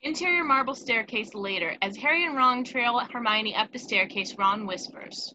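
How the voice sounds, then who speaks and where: A woman's voice: on the high side, moderate pace, pushed and bright, rough recording. A woman narrating scripted stage directions in a table read, with faint noise behind her.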